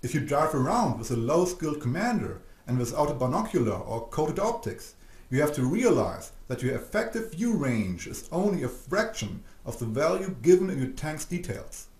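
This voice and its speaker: A man's voice: fairly high pitch, sing-song, a little mellow. Man narrating a tutorial, roomy echo. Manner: measured, didactic, addresses the listener directly. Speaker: narrator of an instructional game video. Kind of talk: scripted explanatory narration. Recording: roomy, echoing voice-over.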